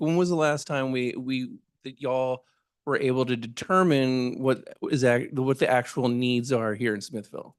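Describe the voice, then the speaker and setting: A man's voice: medium pitch, dry recording, clean studio sound. A man at a public meeting asking questions in a hesitant way, with plenty of fillers. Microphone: Zoom meeting audio.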